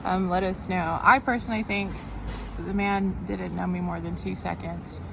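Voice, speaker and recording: A woman's voice: fairly low pitch, fairly even intonation, normal speed, creaky. A woman vlogging outdoors, chatting casually to camera and to the people with her. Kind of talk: casual chat to camera and companions. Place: outdoors in a public place. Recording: loud outdoor wind and street noise.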